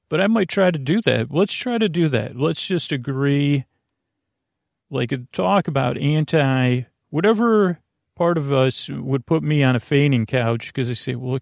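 Gravelly, meandering male podcast host. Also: mid-range voice, darkish tone, no room sound, studio quality. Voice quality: gravelly, creaky. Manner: meandering, halting, self-interrupting, digressive. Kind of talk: rambling, whimsical sleep podcast monologue.

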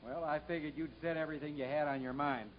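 An actor playing a stubborn, sullen convict in a 1950s radio drama, laconic and quietly defiant. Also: male voice, a little high, steady tone, quick pace, natural voice. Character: stubborn, sullen Southern male convict, self-minded. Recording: dull, noisy 1950s radio recording.

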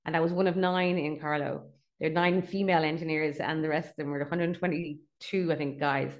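A woman's voice: sits low, fairly even intonation, fairly quick, muffled recording. A middle-aged Irish woman, a company chief, chatting casually as an interview guest and laughing between points. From Ireland (Dublin).

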